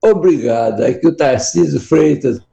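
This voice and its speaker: A man with a very gravelly, dark voice sends formal greetings to a radio show. His message sounds roomy, with faint music behind it. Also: medium pitch, lively intonation, normal speed, dull audio. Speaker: radio caller.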